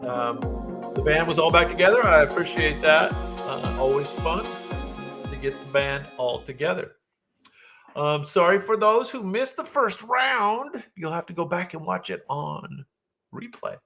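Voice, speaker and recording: A man's voice: on the high side, lively intonation, measured pace, pushed and bright. Older man hosting trivia over music. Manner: chatty and jokey, reads clues with playful drama, laughs often. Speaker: trivia night host. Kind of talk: live-streamed trivia chat with viewers. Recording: music playing under the voice.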